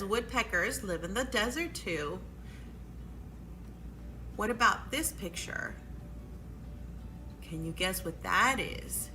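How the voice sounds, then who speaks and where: Female children's storytime host: very lively intonation, unhurried delivery, encouraging questions, recorded in an echoey room. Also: mid-range voice. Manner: exclamatory, encouraging, prompting listeners with questions. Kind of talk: children's storytime with action songs and guessing games. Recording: echoey room, faint background noise.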